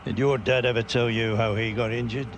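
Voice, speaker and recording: A man's voice: mid-range voice, flat delivery, brisk, slight background noise, natural voice. A man playing a plain-spoken, caring older relative in a video game cutscene. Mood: somber, reminiscing. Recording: game dialogue over faint music.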